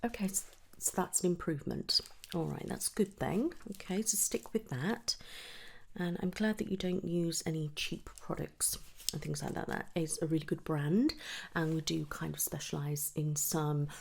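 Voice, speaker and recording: A woman softly whispering an ASMR roleplay as a gentle, reassuring hair clinic specialist. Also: low voice, moderate pace, creaky, breathy edge. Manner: soft, gentle, reassuring clinician speech, often dropping into whisper. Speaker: ASMR performer playing a trichologist at a hair clinic.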